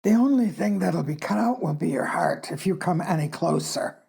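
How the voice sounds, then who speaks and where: A woman's voice: lowish voice, animated, normal speed, vocal fry, mellow, husky, pitched below the performer's own voice, exaggerated voice. A woman voicing a possessed young girl who speaks like a spiteful old woman, menacing and hissing.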